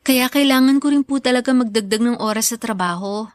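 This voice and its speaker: A woman's voice: a little high, a little fast, dry recording, studio quality, lightly acted. A woman playing a hardworking, devoted student daughter in a Filipino radio drama, polite, respectful and earnest with her mother.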